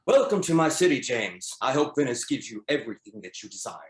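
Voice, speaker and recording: A man's voice: medium pitch, very animated, a little fast, natural voice. A man playing a confident, teasing, expansive Italian gondolier in a screenplay table read. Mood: smooth, teasing. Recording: echoey, roomy sound.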